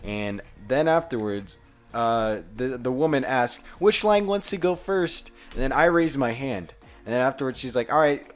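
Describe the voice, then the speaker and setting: A young man chats on a podcast, rambling loosely through a story and swearing casually. He speaks at an everyday middle pitch. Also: lively intonation, moderate pace, smooth, faint music behind. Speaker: podcast co-host. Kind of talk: casual chat.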